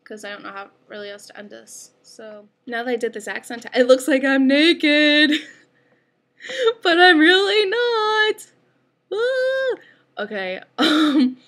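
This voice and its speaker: Bright-voiced chatty young woman vlogger. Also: high-pitched, expressive, a little slow, long pauses. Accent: upstate New York. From upstate New York. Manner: chatty, rambling with many fillers. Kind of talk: casual accent tag video.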